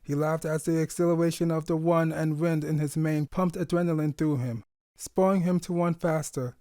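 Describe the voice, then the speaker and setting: A man's voice: a little high, fairly even intonation, normal speed, warm tone, no room sound, studio quality. A man reading a scripted story aloud as its narrator. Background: faint music.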